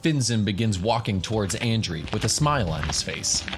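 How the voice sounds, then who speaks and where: Male game master, low voice, scripted fantasy narration, faint music bed. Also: lively intonation, moderate pace. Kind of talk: scripted third-person story narration.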